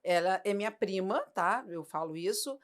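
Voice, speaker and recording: A woman hosting a podcast, telling stories in a lively way and asking her guests questions. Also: mid-range voice, normal speed. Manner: lively storyteller, asks questions. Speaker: podcast host. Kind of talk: casual chat.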